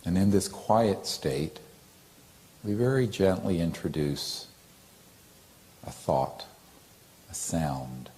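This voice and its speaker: A man with an extremely low voice guides a meditation. He speaks slowly and gently, with a mellow, relaxed tone. His delivery is calm and soothing, like a teacher giving unhurried instruction.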